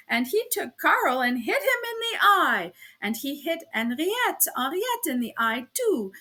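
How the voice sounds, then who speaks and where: A woman's voice: sits high, sharp tone, exaggerated voice. A woman voicing a flustered, fawning German landlady with a German accent who patters out her protests.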